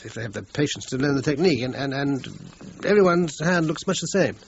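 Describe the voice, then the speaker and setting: A man portrays a formal, well-bred British man being careful with a policeman in a radio drama. His voice is distinctly creaky, and he hedges as he explains. The recording is a little dull. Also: mid-range voice, expressive, fairly quick, slight background noise, close to an everyday voice. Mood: guarded, evasive. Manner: formal, guarded, hesitant. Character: well-bred man, guarded, evasive sibling of victim.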